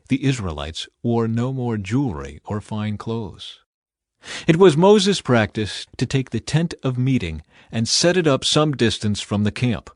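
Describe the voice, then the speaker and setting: A man reading scripture as a scripted audiobook narration in a measured, solemn manner. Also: medium pitch, darkish tone, no room sound, studio quality.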